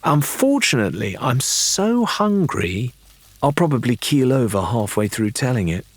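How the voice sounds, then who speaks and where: A man's voice: medium pitch, sing-song, moderate pace, slightly rough, no echo, pitched above the performer's own voice. A man playing a bold, cheeky young female pirate in an audiobook, speaking in a wheedling, mock-polite way. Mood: sly, persuasive. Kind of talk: audiobook character voice.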